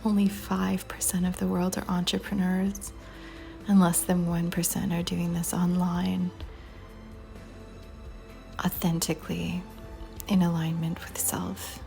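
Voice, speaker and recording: A woman guiding a meditation in a soft, breathy near-whisper, calm and soothing, with long pauses between phrases. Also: on the low side. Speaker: meditation guide. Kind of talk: guided meditation. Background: soft music.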